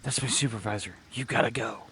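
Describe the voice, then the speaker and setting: Nervous male informant clerk, played by a man, pitched higher, urgent and furtive, clipped delivery for noir radio play. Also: brisk, pushed and bright, a little hiss or hum. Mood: nervous, secretive.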